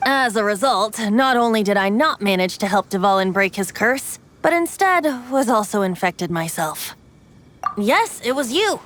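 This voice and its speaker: A woman's voice: medium pitch, expressive, measured pace, a bit bright, a little hiss or hum. A woman playing a cheerful, cheeky young male bard in an anime game, with a playful, boastful, theatrical manner. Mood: gentle, wistful.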